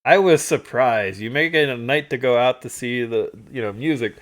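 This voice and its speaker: A man co-hosting a livestream, chatting casually through stories and photos from a trip, animated when he retells moments. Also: medium pitch, moderate pace. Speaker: livestream co-host. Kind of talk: casual storytelling chat over travel photos.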